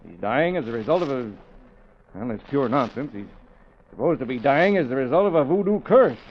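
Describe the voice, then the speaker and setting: An actor as a stuffy executive, muffled vintage radio. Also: male voice, medium pitch, animated, brisk, natural voice. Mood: earnest, uneasy. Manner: formal, earnest, businesslike. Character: stuffy, blunt, middle-aged insurance company general manager. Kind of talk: 1940s radio acting. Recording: dull, faintly noisy vintage radio recording.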